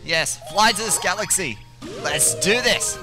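A man's voice: high voice, lively intonation, unhurried. A man giving casual gaming commentary as one player in a group race video. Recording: game music behind the voice. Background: video game music.

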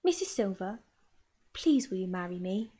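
A woman's voice: mid-range voice, very animated, normal speed, warm tone, husky, voice pushed down. A woman voicing a shy, nervous grown man in love, with a timid, fluttery manner.

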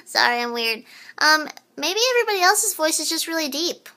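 A woman in her twenties with a very high, childlike voice, joking around in short comedy clips. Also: big pitch swings, brisk, background noise. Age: 20s. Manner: playful and teasing. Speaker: vlogger.

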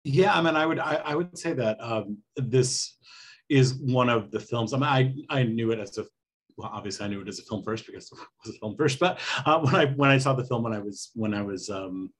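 A man's voice: mid-range voice, a little fast, husky. A middle-aged man talking in a rambling, reflective way as a guest on a video call panel. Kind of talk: casual panel discussion. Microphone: video call microphone.

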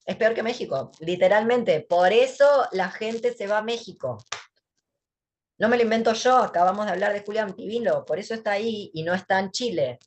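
A woman's voice: medium pitch, moderate pace, darkish tone. An Argentine woman speaking casually as a guest, blunt, sarcastic and emphatic, over a headset on a video call from a dim room. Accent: Argentine Spanish. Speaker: guest, writer and thinker.

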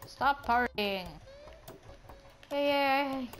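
A woman's voice: high voice, extremely slow, fairly bright tone, soft background music. A woman chatting casually and playfully over gameplay as a channel host, rambling informally.

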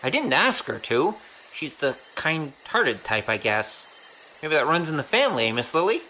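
A woman's voice: low voice, sing-song, normal speed, bright, forceful tone, slight background noise, full character voice, low-quality recording. A woman playing a glib young male gambler in an audio drama, flippant and wheedling. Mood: flippant, charming. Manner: wheedling, flippant, hesitant when cornered.

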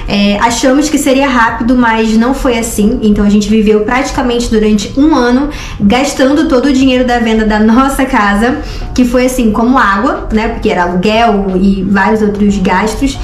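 Higher-voiced young carioca woman in an echoey room. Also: steady tone, normal speed. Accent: Rio de Janeiro Brazilian Portuguese. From Rio de Janeiro, Brazil. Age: 20s. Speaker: vlogger, co-host of a couple's travel channel. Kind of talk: casual storytelling to camera. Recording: roomy echo, music behind.

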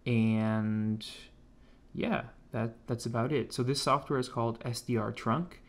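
An American man talks through a hobby electronics demo in a medium-pitched voice. His delivery is laid-back and informal, like someone explaining a project at his desk. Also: steady tone, measured pace. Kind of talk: casual tech demonstration. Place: indoors at a home computer desk.

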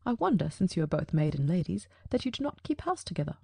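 A keen, probing detective from a storybook, his dark, mellow voice crackling with a gravelly edge, as a woman reads him. He questions crisply, turning each clue over aloud. The recording is dry and close. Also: lowish voice, very animated, fast, no echo, pitched below the performer's own voice, heavily acted. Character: sharp-witted male detective, keen and probing. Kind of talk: audiobook character reading.

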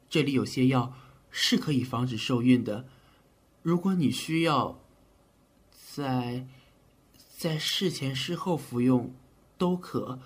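An actor playing an earnest, caring but easily flustered physician of ancient China in a period audio drama. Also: male voice, medium pitch, slightly rough.

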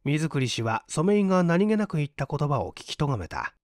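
High-voiced man reading a story aloud. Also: big pitch swings, fairly quick, a little mellow, no room sound, clean studio sound. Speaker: audiobook narrator and stage performer. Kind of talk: dramatic literary story reading.

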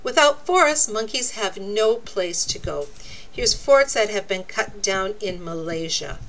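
A woman reading a children's nonfiction book aloud, with deliberate, expressive, teacherly phrasing. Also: mid-range voice, slight background noise, poor audio. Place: indoors, book laid open before a camera.

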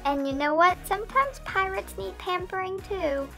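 A woman doing a high bubbly dog narrator. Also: moderate pace, vocal fry. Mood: playful. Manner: bubbly, punny, pirate-talk. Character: cheerful young dog narrating her boat life. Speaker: vlog narrator. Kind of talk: scripted playful narration. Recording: music playing under the voice. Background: music.

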